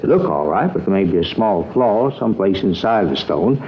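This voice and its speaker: An actor playing a shaken, indignant diamond dealer who has been robbed, rambling fretfully in an old radio crime drama. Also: male voice, deep voice, animated, a little fast. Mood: rueful, explaining. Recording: muffled old radio recording with hiss.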